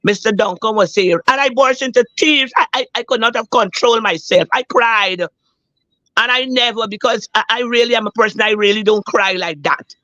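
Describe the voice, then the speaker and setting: Hoarse woman on a dull line. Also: a little high, normal speed, sharp tone, no room sound. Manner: earnest, repeats herself for emphasis. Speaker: caller on a talk show. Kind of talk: emotional personal account.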